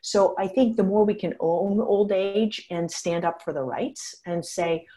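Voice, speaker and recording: Frank, animated woman with a mellow voice. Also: medium pitch, a little slow, low-quality recording. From United States. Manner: frank, animated, laughs while talking. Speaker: geriatrician and author. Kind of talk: conversational interview answers.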